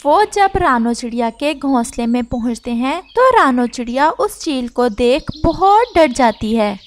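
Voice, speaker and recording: A woman's voice: high-pitched, expressive, measured pace. A woman reading scripted narration for a children's story as the narrator.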